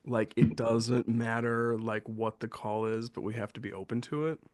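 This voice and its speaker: A man's voice: medium pitch, moderate pace, no echo, clean studio sound. A young man from western New York hosting a podcast, chatting casually and easily with lots of fillers. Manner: relaxed, filler-heavy, laughs easily. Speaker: podcast host. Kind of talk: casual chat.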